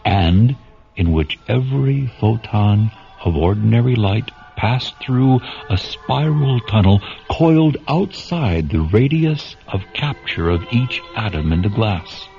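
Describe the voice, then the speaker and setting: An actor playing the solemn storyteller of a science fiction radio drama, narrating in a measured, literary and dramatic manner. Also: male voice, fairly low pitch, lively intonation, gravelly, full character voice. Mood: solemn, wondering. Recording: dull lo-fi radio recording, music bed.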